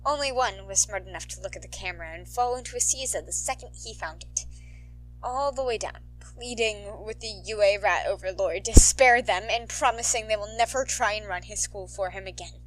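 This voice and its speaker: A woman, an amateur narrator, reading fan fiction aloud in an expressive, informal style. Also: medium pitch, normal speed, poor audio. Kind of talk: animated fan fiction narration, casual asides.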